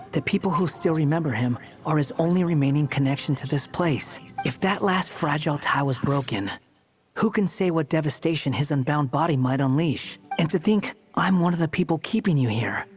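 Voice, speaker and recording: An actor playing an aloof, sardonic young man in a video game, with a cool, smug, deliberate manner. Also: fairly high pitch, soft background music, natural voice. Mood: guarded, reflective.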